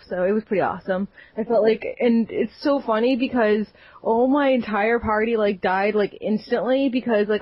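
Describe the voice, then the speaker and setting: A woman's voice: mid-range voice, no echo. A woman co-hosting a casual gaming podcast, rambling with many fillers. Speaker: podcast co-host. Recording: dull lo-fi sound.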